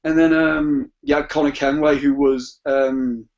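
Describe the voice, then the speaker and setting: A man's voice: fairly high pitch, level tone, measured pace, a little mellow. A man chatting casually as a podcast guest, rambling and often repeating phrases as he feels his way through a thought. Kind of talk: casual chat. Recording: dull, muffled lo-fi podcast audio.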